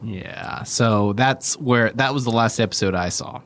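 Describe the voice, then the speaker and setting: A man's voice: medium pitch, measured pace, a bit bright. A man co-hosting a casual beer podcast, joking around and swearing casually with his friends. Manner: joking, does comic voices and swears casually. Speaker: podcast co-host. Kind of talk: casual chat over beers.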